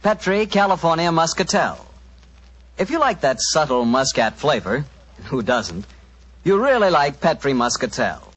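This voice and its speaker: An American man working as a radio announcer, giving a chatty 1940s sponsor pitch in a salesman-like way. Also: a bit bright, slightly rough. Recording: muffled 1940s radio broadcast.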